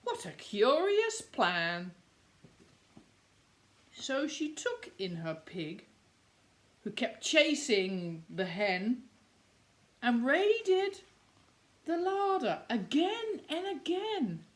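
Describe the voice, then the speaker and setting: A woman storyteller reads to young children in a fairly high voice. Her delivery is expressive and very varied in pitch, taken slowly. She breaks off for chatty asides that explain things to the listeners. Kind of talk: picture-book read-aloud with chatty asides.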